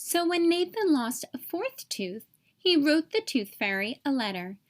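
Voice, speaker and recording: A woman reading a children's picture book aloud as an expressive storyteller. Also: sits high, measured pace.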